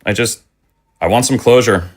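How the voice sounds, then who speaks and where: A man acts out a middle-aged father in an audio drama. His voice sits on the low side and he talks haltingly, with a wistful longing beneath natural, conversational delivery. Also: close to an everyday voice. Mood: searching, wistful.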